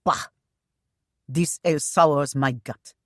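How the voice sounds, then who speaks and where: A woman voicing a rough, sneering male pirate captain who barks orders with contempt. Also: low voice, slow pace, no echo, clean studio sound. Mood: contemptuous. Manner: barking, contemptuous.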